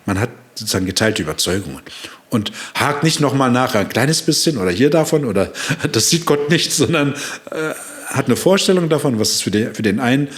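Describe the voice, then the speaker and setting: A man's voice: mid-range voice, expressive, fairly quick. A man in his sixties, born in Turkey, a psychology professor explaining at length in an interview, acting out quoted dialogue with frequent fillers.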